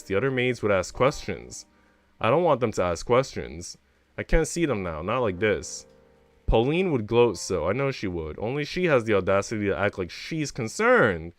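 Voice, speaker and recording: A man's voice: fairly low pitch, sing-song, normal speed. A man reading aloud the visual novel lines of a distraught, resentful young maid. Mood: bitter.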